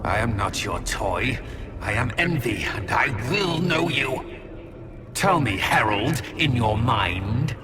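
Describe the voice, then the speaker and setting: An actor playing a gloating, malicious shapeshifting demon in a video game, theatrical and taunting. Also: male voice, mid-range voice, sing-song, slow pace, music in the background. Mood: furious, demanding. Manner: menacing, taunting, theatrical with sudden shouts. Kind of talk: dramatic video game acting.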